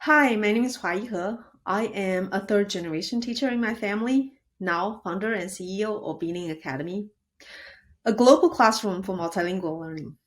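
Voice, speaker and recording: Earnest woman founder giving a pitch. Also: moderate pace. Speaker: founder and CEO of a language school. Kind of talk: rehearsed pitch presentation.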